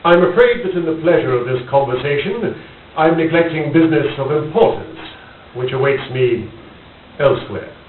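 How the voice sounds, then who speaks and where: A man acting a composed, proud, witty gentleman detective in radio theater, speaking in a formal, measured, clipped way. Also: fairly high pitch, expressive, close to an everyday voice. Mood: dry, unruffled. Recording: tinny, hissy, roomy, distant microphone.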